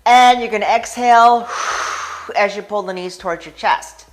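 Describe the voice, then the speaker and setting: An American woman chiropractor talking through a stretching routine, calmly guiding breaths and holds. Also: mid-range voice, moderate pace, low-quality recording. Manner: calm, guiding with breathing cues. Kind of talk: instructional stretching demonstration.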